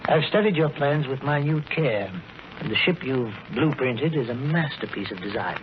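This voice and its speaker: A man's voice: mid-range voice, animated, normal speed, lightly acted. A man acting an authoritative, idealistic professor of rocketry in a 1940s radio drama, formal and precise. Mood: earnest, approving. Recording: muffled, noisy old 1940s radio recording.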